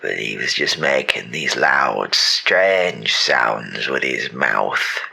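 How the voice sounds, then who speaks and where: Man putting on a gravelly old British war veteran. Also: very deep voice, animated, pushed and bright, voice pushed down, full character voice. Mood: weary, disgusted. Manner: slow, rambling, grumbling. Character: weary eighty-year-old male war veteran, British, disgusted.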